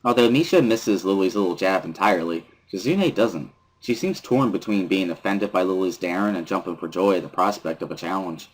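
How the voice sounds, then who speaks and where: A man reading first-person story narration from a visual novel as a dramatic reading, with a husky voice. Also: sits low, expressive, fairly quick, few pauses, poor audio.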